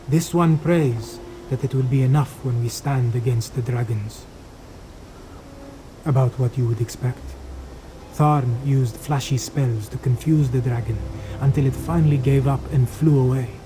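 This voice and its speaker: An actor playing a solemn, devoted cat-folk warrior monk in a video game, speaking formally and earnestly in measured phrases. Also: male voice, medium pitch, background noise, natural voice. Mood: resolute, then matter-of-fact. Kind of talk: video game character dialogue.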